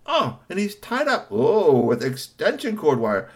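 A man's voice: mid-range voice, huge pitch swings, measured pace, pitched above the performer's own voice. A man voicing a curious male police officer who thinks aloud with hums. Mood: alarmed.

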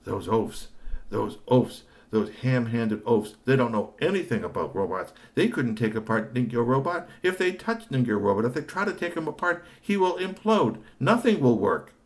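A man's voice: mid-range voice, big pitch swings, moderate pace, pitched above the performer's own voice. A man voicing a proud, hot-tempered male robot inventor who talks fussily and repeats himself in bursts. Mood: indignant. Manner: fussy, boastful, repeats words in bursts.

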